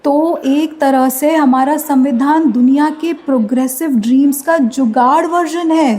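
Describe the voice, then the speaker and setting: A woman's voice: high voice, unhurried, mellow, faint music behind. A young woman playing a curious, polite university student asking her teacher questions in a radio play. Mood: questioning. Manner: inquisitive, polite.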